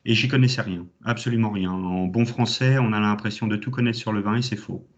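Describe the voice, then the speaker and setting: A fairly low-voiced man in his thirties talks with enthusiasm and plenty of hesitant fillers. He is giving an alumni talk at an online conference. The audio is dull and lo-fi, as on a video call. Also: steady tone, fairly quick. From Champagne, France. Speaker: alumni guest speaker.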